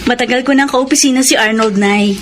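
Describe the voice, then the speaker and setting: A woman's voice: on the high side, fairly quick, noisy background, natural voice. An actress playing an outspoken, supportive young female cousin in a radio drama. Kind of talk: Filipino radio drama acting.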